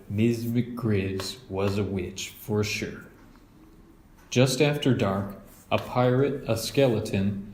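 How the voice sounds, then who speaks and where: A man's voice: fairly low pitch, a little mellow, echoey room. A man narrating a children's storybook as a read-aloud.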